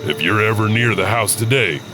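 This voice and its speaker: A man's voice: lowish voice, a little fast, noisy background. A man narrating spooky scripted stories as host, with a theatrical delivery.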